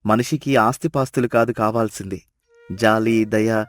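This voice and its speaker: A man's voice: fairly low pitch, steady tone, normal speed, natural voice. A man playing a well-off, emotional village brother-in-law in a dubbed moral-story cartoon, with earnest, emphatic delivery. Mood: grateful, commanding. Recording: music behind the voice.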